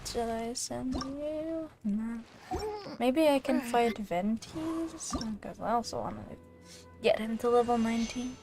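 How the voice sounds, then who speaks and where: A woman's voice: a little high, expressive, a little slow, over music. A woman chatting casually and in a giggly, rambling way while streaming a video game. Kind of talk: casual gameplay chat.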